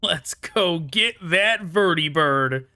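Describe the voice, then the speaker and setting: A man's voice: on the high side, animated, takes it slowly, pushed and bright, clear, resonant tone, no echo. A man giving casual gameplay commentary, rambling and thinking aloud as he plays. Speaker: gaming YouTuber.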